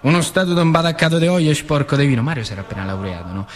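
A man with a central Italian accent from northern Lazio, performing an animated, colloquial theatre monologue in working-class dialect, repeating phrases for emphasis. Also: on the high side, racing. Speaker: actor and storyteller. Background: music.

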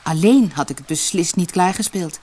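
A woman's voice: on the low side, expressive, moderate pace, a little mellow, slight background noise, natural voice. A woman voicing a brave, honest young man in a fairy tale, earnest and polite.

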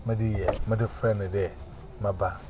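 A man's voice: fairly low pitch, fairly even intonation, a little slow. Man, Ghanaian accent, street preacher, emphatic, repeats words, noisy street recording. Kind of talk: preaching testimony. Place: outdoors on a busy street.

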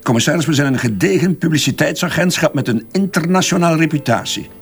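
A man's voice: on the high side, animated, quick pace, breathy edge, faint music behind. A man with a Flemish accent playing a defensive advertising boss under questioning in a crime drama, hesitant and evasive, often backtracking. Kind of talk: radio crime drama dialogue.

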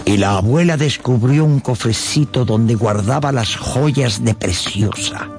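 A man narrating a radio drama in an expressive, scripted storytelling style. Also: medium pitch, a little slow, creaky. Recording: soft music behind.

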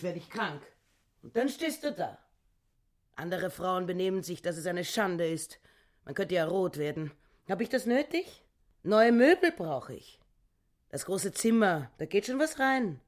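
A grumbling, grasping housekeeper played by an actress in a radio drama. Her voice sits fairly low for a woman, and she speaks with self-righteous, wounded complaint. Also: close to an everyday voice. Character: self-pitying, grasping, grumbling housekeeper wife, no longer young. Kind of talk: German radio play acting.

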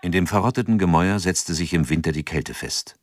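A man narrating an audio drama from a script in a measured, sober manner. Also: deep voice, background noise.

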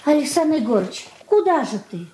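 A woman's voice: sits high, sing-song, moderate pace, warm tone, rough recording. An actress playing a fussy, timid merchant-family mother in a 1980s Soviet radio play, homely and fretful. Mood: fretful, hushed.

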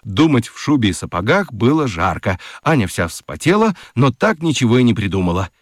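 A man narrating a children's radio story expressively, with theatrical emphasis and dramatic pauses. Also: mid-range voice, sing-song, no echo, studio quality. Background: music.

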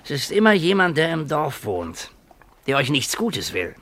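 Superstitious witch-finder: solemn, cagey, insinuating, fairly high animated male voice, radio drama. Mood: ominous, evasive. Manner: insinuating, portentous, deliberate. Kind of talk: German radio play acting. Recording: noisy vintage radio drama recording with clicks.

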